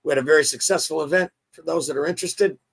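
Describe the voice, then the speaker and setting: Hoarse, chatty man hosting a sports show. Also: on the high side, fast talker. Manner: chatty, excitable, restarts often. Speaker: show host. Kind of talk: casual sports talk show.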